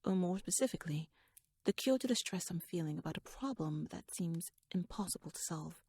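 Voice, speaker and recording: Casting: actress as wry, scheming royal lady for ASMR roleplay; husky, breathy voice, formal and wordy, very close dry sound. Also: on the low side, lively intonation, a little fast, mellow, no room sound. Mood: musing, confiding. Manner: wordy, formal, rhetorical, musing. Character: shrewd, talkative, scheming royal noblewoman, playfully wry. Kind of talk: ASMR audio roleplay monologue addressed to the listener.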